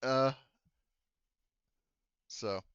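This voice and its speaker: A man running a tabletop roleplaying game as game master, narrating casually in a halting, conversational way. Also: fairly bright tone, dry recording, dull audio.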